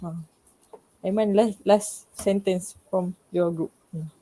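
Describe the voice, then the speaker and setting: Female, Malaysian accent, soft-edged mellow voice. Class presenter offering informal comments, halting and searching for words. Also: lowish voice, fairly even intonation, poor audio. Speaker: class presenter giving feedback. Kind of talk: informal spoken feedback to a class.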